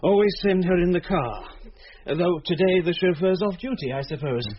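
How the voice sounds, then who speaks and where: A man's voice: high-pitched, lively intonation, moderate pace. A man playing a fussy, garrulous middle-aged father in a British radio drama, with a genteel, hesitant manner of speaking. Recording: muffled audio with faint noise.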